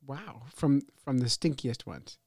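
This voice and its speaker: A man's voice: medium pitch, unhurried, a little mellow, no echo, clean studio sound. A man hosting a podcast, chatting casually and laughing often at his own jokes. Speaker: podcast host. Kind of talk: casual chat.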